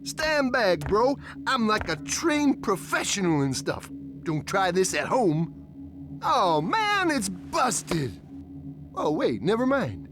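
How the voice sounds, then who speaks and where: Goofy high-voiced surfer dude. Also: male voice, wildly swooping pitch, slow pace, background noise, heavily acted. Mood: bragging, clueless. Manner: slangy, drawling, overexcited. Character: goofy, clueless young male surfer-dude slacker. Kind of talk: cartoonish video game acting.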